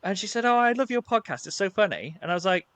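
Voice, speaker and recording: A man's voice: high voice, very animated, fast, a bit bright, smooth, no echo. A man hosting a podcast, chatting casually in a rambling, self-deprecating way with plenty of fillers. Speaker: podcast host. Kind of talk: casual chat.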